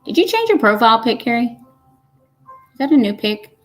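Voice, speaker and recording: A woman's voice: on the high side, very animated, moderate pace, soft background music. A woman, hosting a casual live stream and chatting folksily as she reads comments aloud. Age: 50s. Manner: chatty and folksy, says y'all.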